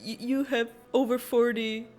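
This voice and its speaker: A woman's voice: on the high side, flat delivery, moderate pace, a little mellow. A young woman doing informal, rambling playthrough commentary in a casual, giggly way. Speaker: gaming video creator.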